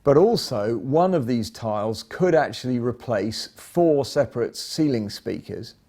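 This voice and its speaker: Male product presenter, mid-pitched and soft-edged, measured delivery for an instructional demo. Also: expressive.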